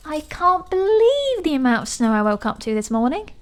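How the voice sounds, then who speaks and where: A woman playing an easily impressed, gently teasing house guest in an audio drama, speaking in a light, conversational way. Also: sits high, big pitch swings, moderate pace. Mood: light doubt. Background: music.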